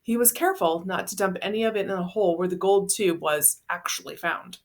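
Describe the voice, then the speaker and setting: A woman's voice: medium pitch, fairly quick. A woman narrating a children's novel, reading it aloud as a storyteller.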